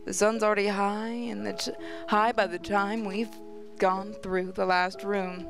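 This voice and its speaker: A woman's voice: medium pitch, little pitch movement, unhurried, creaky. A woman gaming host reading visual novel narration aloud, stumbling over the odd word as she goes. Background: prominent music.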